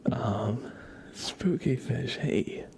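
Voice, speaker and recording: A young man giving a soft, whispered ASMR tarot reading while chatting in a relaxed, rambling way with viewers. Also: mid-range voice, very slow, creaky, slight background noise.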